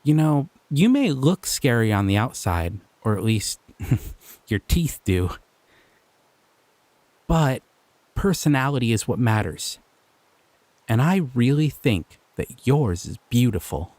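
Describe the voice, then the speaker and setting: A man voicing a shy, gentle male slime creature in an audio roleplay, soft-spoken and hesitant, often trailing off. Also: mid-range voice, sing-song, slow, long pauses, no echo. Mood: gentle, curious.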